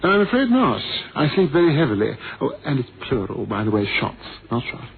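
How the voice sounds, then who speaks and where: An actor playing a languid, haughty gentleman of leisure in a radio drama, drawling, pedantic and sardonic. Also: medium pitch, very animated, a little fast, vocal fry. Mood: bored, arch. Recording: dull, hissy old radio recording.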